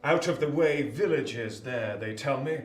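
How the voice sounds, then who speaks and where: An actor playing a surly, insolent young gentleman in a radio play, with an upper-class English accent and a curt, sneering manner. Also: medium pitch, a little slow, close to an everyday voice. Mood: bored disdain. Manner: curt, sneering, drawling disdain. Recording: roomy, echoing sound.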